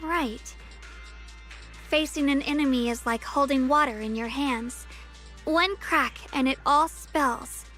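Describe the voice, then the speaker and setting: A woman's voice: high voice, takes it slowly, bright, forceful tone, faint music behind, exaggerated voice. A woman voicing an earnest, polite young swordswoman disciple in a video game, with a reassuring manner. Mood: earnest, respectful.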